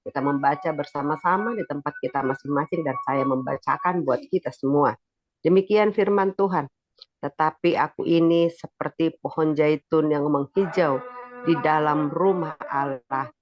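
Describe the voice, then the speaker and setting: A preacher giving a sermon with a stuffy, nasal voice while recovering from illness, pitching up and getting louder as they explain a point. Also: moderate pace, highs cut off. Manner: rises in pitch and volume when explaining.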